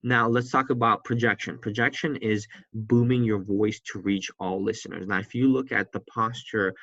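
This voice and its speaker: A man's voice: on the low side, fairly even intonation, moderate pace, fairly bright tone, no room sound, muffled recording. A man teaching public speaking in a recorded lecture, talking conversationally from a seat and often restarting his sentences. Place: seated indoors, not in a classroom.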